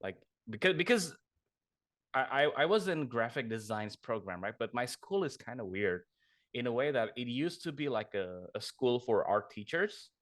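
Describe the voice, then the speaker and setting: A man's voice: medium pitch, expressive, moderate pace, a bit bright, no echo, studio quality. A young man from Indonesia, a guest artist chatting casually in an interview, rambling and correcting himself as he goes. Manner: rambling, self-correcting, laughs often.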